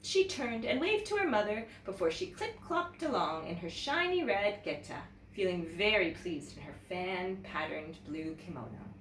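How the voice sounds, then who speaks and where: Woman, teacher reading to children, very lively intonation, expressive storytelling, distant roomy sound. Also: mid-range voice, moderate pace, hardly any pauses. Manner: animated, addresses young viewers directly. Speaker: teacher reading picture books aloud. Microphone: camera mic at some distance. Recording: roomy, distant mic with background noise.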